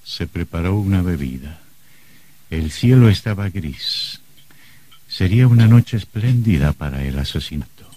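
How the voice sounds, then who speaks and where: A man narrates a radio thriller with solemn suspense. His voice is very low and mellow, and he takes his time over each phrase in a measured, dramatic style. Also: lively intonation.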